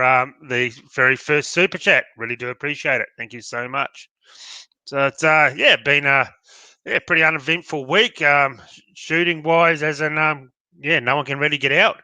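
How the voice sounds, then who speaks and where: A man with an Australian accent hosts a casual live stream, his voice very bright and forward. His nose is blocked up from hay fever and he sounds worn out. The sound is dry and close, with no room at all. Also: on the high side, smooth, no room sound. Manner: chatty and welcoming, says sorry for sounding tired.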